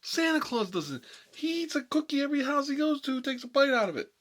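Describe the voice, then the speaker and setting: A man's voice: very high-pitched, big pitch swings, a little fast, fairly bright tone, heavily acted. A man playing a hot-headed, sentimental mob boss in an improvised comic monologue, talking in a rambling, repetitive, colloquial way. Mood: outraged ranting.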